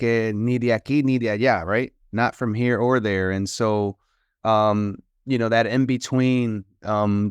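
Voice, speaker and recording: A man's voice: medium pitch, clean tone, dry recording. A middle-aged man from New York telling his life story as an interview guest, reflective and mixing in Spanish phrases. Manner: reflective storyteller who slips into Spanish, many "you know" fillers. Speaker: guest, author and consultant. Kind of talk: casual interview talk.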